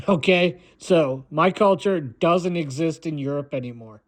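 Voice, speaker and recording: An American man giving a rambling, opinionated monologue in a blunt, dismissive way, often halting and repeating himself. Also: on the high side. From United States. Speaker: video maker.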